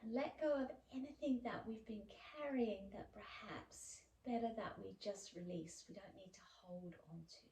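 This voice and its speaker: A woman's voice: mid-range voice, dark tone. Echoey, distant, soothing woman yoga teacher. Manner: slow, soothing, with pauses and breathy sighs. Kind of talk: guided yoga relaxation instruction. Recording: echoey room, distant mic, faint background noise.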